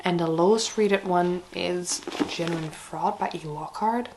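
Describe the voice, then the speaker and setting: A woman with a hoarse, raspy voice from slight laryngitis, chatting casually and a little haltingly as she reviews books to camera. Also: fairly low pitch, noisy background. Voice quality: raspy, breaking into breathiness. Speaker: book vlogger.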